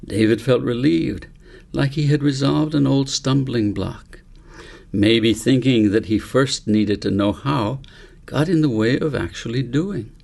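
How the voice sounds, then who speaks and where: A man narrating a story audiobook in a measured, reflective way, as an author reading his own book aloud. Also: medium pitch, creaky, a little mellow.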